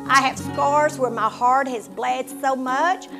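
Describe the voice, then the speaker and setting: A woman with a Tennessee accent hosting her own show, giving an earnest personal testimony and dropping to a hush at painful moments. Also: high-pitched, slow pace, over music. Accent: Southern US, Tennessee. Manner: earnest, heartfelt, drops to a hushed tone at painful moments. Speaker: show host.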